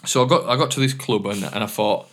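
A man's voice: lowish voice, fast, fairly bright tone. A man telling stories in casual chat, swearing and rambling as he acts out who said what. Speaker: DJ and podcast host.

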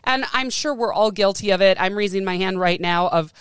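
Woman, American, podcast host. Smooth clear voice, close dry sound, chatty and enthusiastic. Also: mid-range voice, fairly quick, dry recording. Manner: enthusiastic, self-deprecating, informal. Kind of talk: casual chatty solo podcast talk.

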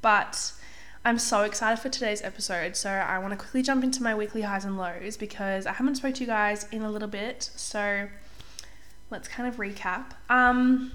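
A young woman hosting a casual solo podcast as a life coach, chatty and upbeat, slightly hoarse while getting over a cold. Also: medium pitch, a bit bright. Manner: chatty, upbeat, says "like" often, clears throat.

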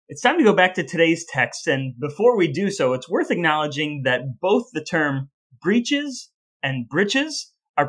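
A man's voice: fairly high pitch, fairly bright tone. An American man co-hosting a podcast in a conversational style. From United States. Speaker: podcast co-host.

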